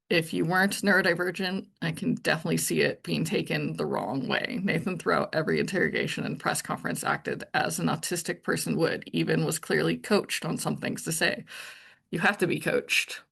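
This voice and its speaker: A woman talks to her viewers in an even, monotone voice, blunt and direct. Her tone carries gravel and a slightly breathy husk. She thinks aloud, circling back and restarting as she goes. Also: sits low. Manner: blunt and to the point. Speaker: channel host. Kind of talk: casual true-crime commentary monologue.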